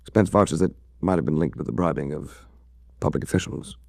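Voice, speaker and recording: A man's voice: deep voice, expressive, brisk, gravelly, darkish tone, no echo, full character voice. An American man playing a suave, shrewd adventurer-detective in a 1940s radio drama, speaking with dry, worldly understatement. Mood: probing, matter-of-fact. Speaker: lead actor and first-person narrator in a radio detective series.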